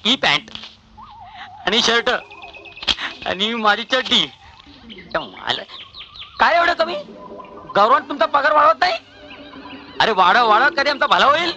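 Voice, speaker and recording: Cheeky high-voiced pickpocket. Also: male voice, sing-song, normal speed, long pauses, music in the background, heavily acted. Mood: taunting. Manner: taunting, cocky. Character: cheeky male pickpocket thief. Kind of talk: comic film acting.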